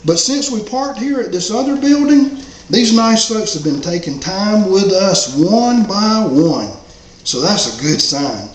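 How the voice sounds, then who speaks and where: A man reading his own first-person story as a hopeful, humble homeless dog, in a folksy, rural way of talking. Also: sits high. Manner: folksy, drawling rural phrasing. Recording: roomy echo, faint background noise.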